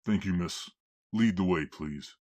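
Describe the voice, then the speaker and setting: A man's voice: sits low, unhurried, a little mellow, slight background noise. A man playing a courteous, commanding lord in an audio drama, formal and authoritative.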